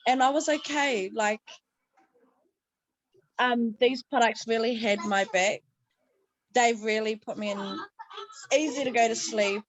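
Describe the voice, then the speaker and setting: A woman on a group video call telling her week with hesitant pauses and fillers. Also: a little high, a little hiss or hum, rough recording. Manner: hesitant, lots of ums and likes. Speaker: member sharing a story.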